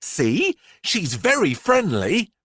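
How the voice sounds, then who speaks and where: A man's voice: high-pitched, huge pitch swings, slow pace, fairly bright tone, husky, no room sound, voice pushed up, heavily acted. A man voicing a proud, cheeky little schoolgirl in a storybook, with confident, playful delivery. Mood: coaxing.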